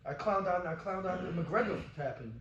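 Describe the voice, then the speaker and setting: A man's voice: high voice, animated, fairly quick, fairly bright tone, far from the mic, muffled recording, a little hiss or hum. A man co-hosting, a former fighter, talking emphatically, swearing freely and repeating himself. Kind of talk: casual chat. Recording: echoey room.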